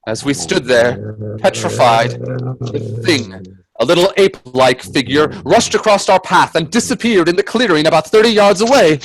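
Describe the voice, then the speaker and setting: A man playing an earnest, uneasy gentleman adventurer in a radio play, telling his tale with theatrical, hesitant suspense. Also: on the high side, expressive, moderate pace, creaky, low-quality recording. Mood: suspenseful, dread. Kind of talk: dramatic radio play narration and dialogue.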